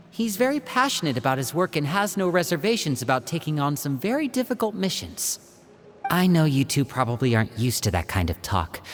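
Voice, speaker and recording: Reserved young spy girl, low voice, calm and even, game music under. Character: calm young woman spy, quiet and guarded.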